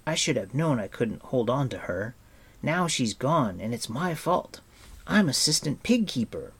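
A man voicing an earnest, frightened, excitable boy in a storybook, blurting and protesting. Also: fairly high pitch, a little slow, breathy edge, voice pushed up, full character voice. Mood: nervous, protesting.

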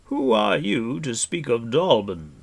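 A man's voice: mid-range voice, sing-song, a little slow, gravelly, voice pushed up, exaggerated voice. A man voicing a weathered, commanding warrior prince in a storybook, stern yet kindly, speaking with emphatic authority. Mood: urgent, demanding.

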